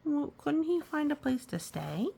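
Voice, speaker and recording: Storytime reader, female: chatty and reassuring, very lively intonation, some vocal fry. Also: high-pitched, unhurried, noisy background. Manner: reassuring, musing, chatty commentary. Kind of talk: read-aloud with casual asides to children.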